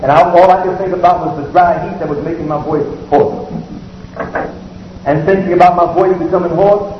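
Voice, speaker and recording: A man giving a holiday sermon as imam in a large civic center hall, preaching in a measured way with repeated phrases. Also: sits high, fairly even intonation. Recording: echoey hall, muffled, faint hiss.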